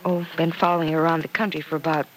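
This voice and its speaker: An actress playing a warm, earnest young woman in an old radio soap opera, speaking with sighs, hesitations and small exclamations. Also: low-pitched, flat delivery, very fast, natural voice. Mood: hesitant, concerned. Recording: noisy vintage radio drama recording.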